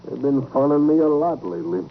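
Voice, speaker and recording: A man playing a good-natured, even-tempered rancher with a folksy rural American West drawl in a 1950s radio western. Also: mid-range voice, fairly quick. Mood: amused, easygoing. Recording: muffled old radio recording, faint noise.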